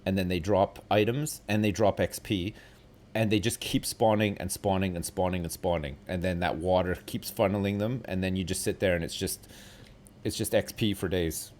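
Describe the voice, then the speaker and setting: A man's voice: low-pitched, steady tone, normal speed, darkish tone. A man in his forties hosting a casual gaming stream, explaining things in a rambling way with lots of fillers and some self-deprecation. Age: 40s. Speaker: streamer host.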